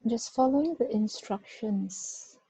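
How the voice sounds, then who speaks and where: A woman guiding a body scan meditation, giving gentle, unhurried instructions with long pauses. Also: a little high, lively intonation, slightly rough, dry recording. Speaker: meditation guide. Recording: dull, muffled lo-fi recording.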